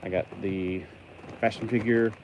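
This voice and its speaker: A low-pitched American man talks over clearly noisy sound. He rambles casually and playfully, like a hobbyist vlogger opening packages. Much of it sounds like thinking out loud to whoever is watching. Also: fairly even intonation, a little slow. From United States. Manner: rambling, playful, talks to himself, acts out imagined replies. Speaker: toy collector unboxing mail on a vlog. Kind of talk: casual rambling unboxing chat.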